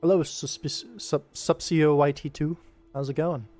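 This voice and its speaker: A man's voice: a little high, fairly even intonation, unhurried, a little mellow. Casual male streamer over music. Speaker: livestream host and animator. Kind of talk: casual livestream chat, answering viewers while working. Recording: music playing behind the voice.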